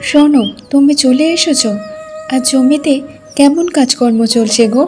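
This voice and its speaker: Woman playing gentle, high-voiced village wife. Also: measured pace. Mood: caring. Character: supportive, hopeful village wife. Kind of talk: cartoon folk tale dialogue. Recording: music behind the voice.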